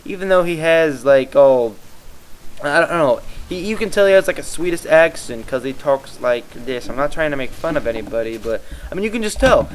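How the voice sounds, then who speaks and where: A man's voice: on the high side, lively intonation, moderate pace, a little hiss or hum, rough recording. A tired young man from the Midwest rambling casually as he commentates over gameplay, often losing his train of thought. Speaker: YouTube gaming commentator.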